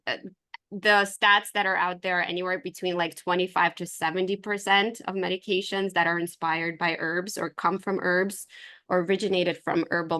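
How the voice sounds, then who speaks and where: A woman's voice: fairly low pitch, pushed and bright. A woman from Ukraine giving an informal, enthusiastic online business presentation in a conversational way. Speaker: presenter pitching her herbal supplement line. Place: online video call with screen share.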